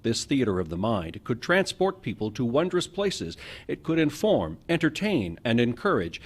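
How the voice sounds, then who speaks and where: A man announcing a radio drama in a scripted, theatrical and deliberate style. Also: mid-range voice, sing-song, breathy edge.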